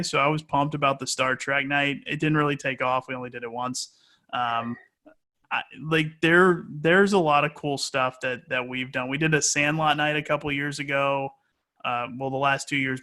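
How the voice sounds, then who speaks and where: A man with a fairly high, bright voice gives casual interview answers. He sounds like a sports announcer off duty, hesitating and working out his thoughts aloud as he goes. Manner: hesitant, thinking aloud with frequent stalling.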